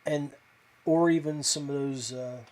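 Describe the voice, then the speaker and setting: A man hosting a podcast, talking casually and off the cuff to viewers, with hesitant pauses and a modest, self-deprecating manner. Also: fairly high pitch, fairly even intonation, darkish tone. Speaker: podcast host. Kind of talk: casual fishing talk to viewers.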